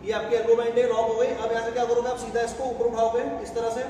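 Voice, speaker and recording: A man's voice: extremely high pitch, monotone, fast. A man in his thirties, a fitness trainer, talking viewers through bicep exercises step by step while he demonstrates them in a large indoor gym. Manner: instructive, step-by-step, mixes English gym terms. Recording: echoey gym hall, noisy, mic at a distance.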